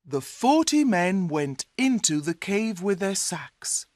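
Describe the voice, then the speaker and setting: Very slow, animated woman storyteller. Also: mid-range voice, no room sound, studio quality. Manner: deliberate, carefully separated phrases. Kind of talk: scripted fairy-tale narration for English learners.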